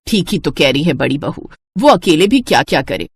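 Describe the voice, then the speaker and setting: A woman voicing a stern, bossy middle-aged mother-in-law with a husky voice in a cartoon family story. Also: deep voice, very animated, a little fast, no echo, exaggerated voice. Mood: scolding. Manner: bossy, scolding.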